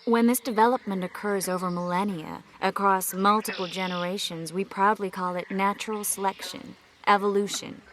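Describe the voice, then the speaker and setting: A woman playing a learned sorceress healer in a video game, explaining things in a measured, frank way. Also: mid-range voice, steady tone, a bit bright, a little hiss or hum, natural voice. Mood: explanatory.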